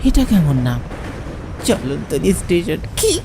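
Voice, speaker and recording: A man's voice: a little high, wildly swooping pitch, slow, vocal fry, full character voice. A man voicing a wary, suspicious young male traveller in a horror cartoon. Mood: puzzled. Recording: loud train noise behind.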